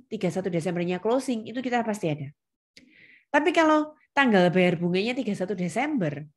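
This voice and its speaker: A woman lecturing to students, explaining step by step with emphasis on key words and checking that they follow. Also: fairly low pitch. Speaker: accounting lecturer. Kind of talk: spoken lesson with questions to students.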